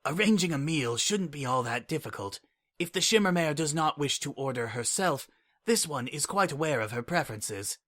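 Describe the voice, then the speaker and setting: A man's voice: a little high, lively intonation, normal speed, fairly bright tone, no room sound. A man voicing a calculating adult changeling information broker with a dry, formal way of speaking. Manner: dry, formal, speaks of itself in third person.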